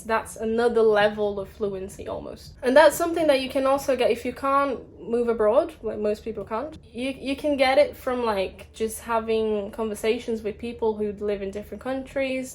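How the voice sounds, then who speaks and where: A woman's voice: fairly high pitch, a little mellow, slight background noise. A young Brazilian woman, Portuguese her first language, with a British-tinged accent, chatting casually as an English tutor and filling her talk with "like" and restarts. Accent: Brazilian with British influence. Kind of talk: casual talking-head vlog.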